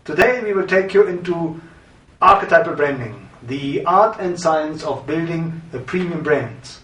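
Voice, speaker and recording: A middle-aged man from Germany with a German accent, presenting a course introduction in a measured, explanatory way. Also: a little high, expressive, a bit bright. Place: studio with green-screen backdrop. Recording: roomy, from a distance.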